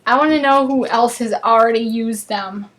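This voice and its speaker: A woman with a northern US accent hosts a live card-making class. She talks in a chatty, bubbly way and chats with viewers while she works. Her voice sits a little higher than most. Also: normal speed, fairly bright tone, low-quality recording. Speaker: craft class host. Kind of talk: live crafting demonstration.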